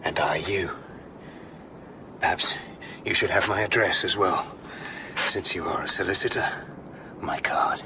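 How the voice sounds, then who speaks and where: A man's voice: sits low, a little slow, long pauses. An actor playing a menacing, secretive, hostile man in a radio drama, curt and hissing. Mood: cold, wary.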